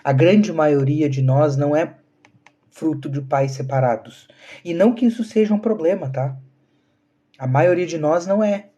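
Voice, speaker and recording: A man's voice: a little high, moderate pace, mellow, dull audio. A man, talking earnestly to viewers on a live stream and asking rhetorical questions. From southern Brazil. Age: 30s. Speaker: spiritual channel host.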